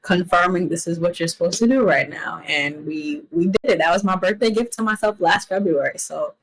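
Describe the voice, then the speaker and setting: Upbeat low-voiced woman chef in her twenties. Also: brisk, low-quality recording. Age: 20s. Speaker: guest chef. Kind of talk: casual interview chat.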